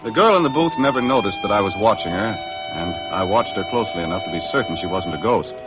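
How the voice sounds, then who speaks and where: Wry, tough American skipper, played by a male actor: low voice with lively swings, vintage radio adventure narration. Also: quick pace, lightly acted. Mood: wry narrating. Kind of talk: 1950s radio drama narration and acting. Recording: vintage radio recording with music.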